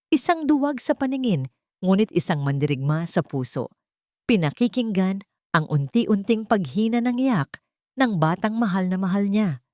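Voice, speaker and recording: A woman's voice: fairly low pitch, a little mellow, no room sound, studio quality. A woman storyteller reading a scripted, dramatic story in a solemn, suspenseful manner. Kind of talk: dramatic scripted narration of a love and family story.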